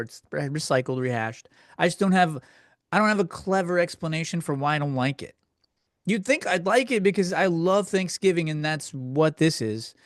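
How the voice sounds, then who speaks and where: A man's voice: a little high, dry recording, clean studio sound. A man hosting a comedy podcast, ranting casually in a crude, self-deprecating, rambling conversational way. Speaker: podcast host. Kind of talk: casual comedic solo rant, answering listener questions.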